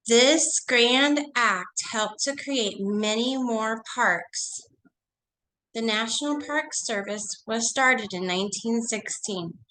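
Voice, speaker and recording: A woman's voice: on the high side, slow, sharp tone, rough recording. A woman teacher with a cold, her voice nasal and fading, reading a story aloud to children and asking them questions in a chatty, coaxing way during an online lesson.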